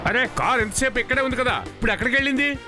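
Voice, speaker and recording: A high-voiced cartoon parrot, always on the lookout and sure it knows best. It explains things insistently over a bed of music. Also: male voice, lively intonation, racing. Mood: alert, insistent. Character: alert, know-it-all cartoon parrot scout. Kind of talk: animated children's cartoon dubbing.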